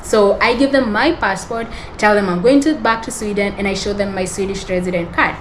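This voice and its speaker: A woman's voice: mid-range voice, brisk, slight background noise, rough recording. A woman hosting a podcast, telling a personal story in a rambling, self-correcting way and often laughing. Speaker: podcast host. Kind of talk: storytelling monologue.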